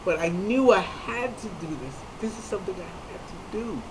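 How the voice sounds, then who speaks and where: A comedian, a man with a high voice for a man, telling a personal story in an earnest, halting way with frequent restarts. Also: expressive, noisy background. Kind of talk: personal talk to camera.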